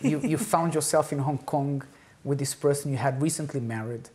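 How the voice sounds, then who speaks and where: Low-voiced woman hosting a talk show. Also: moderate pace, mellow. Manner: upbeat, asks questions.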